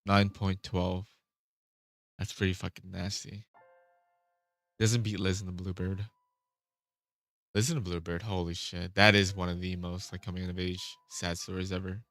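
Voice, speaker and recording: Male vlogger, very deep voice, loose rambling delivery, dry recording. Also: flat delivery, moderate pace, long pauses, a bit bright, no echo, soft background music. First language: Vietnamese. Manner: rambling, self-interrupting, swears casually. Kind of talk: casual rambling chat.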